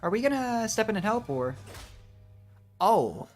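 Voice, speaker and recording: A man's voice: high voice, sing-song, measured pace. A man giving casual, informal live reactions as a game streamer, muttering along to the story. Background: game music.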